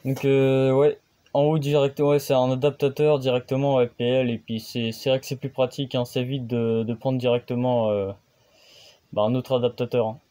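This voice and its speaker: A man's voice: medium pitch, little pitch movement, moderate pace, warm tone. A man, a radio hobbyist host, chatting casually on the air and hesitating often with fillers. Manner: hesitant, many fillers and restarts.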